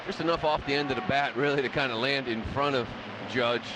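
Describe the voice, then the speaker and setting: A man's voice: mid-range voice, a little fast, background noise. A man giving informal, opinionated baseball analysis in a conversational way on a live radio broadcast. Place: stadium broadcast booth. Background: ballpark ambience.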